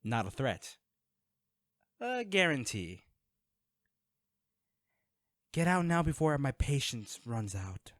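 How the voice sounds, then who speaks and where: A dry, intimate recording carries a man acting as a wealthy, secretive vigilante in an audio drama. He is medium-pitched and very animated, moving between sarcasm and curt menace. He speaks straight at the listener, guarded and threatening. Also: takes it slowly, pauses often, dry recording. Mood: stern.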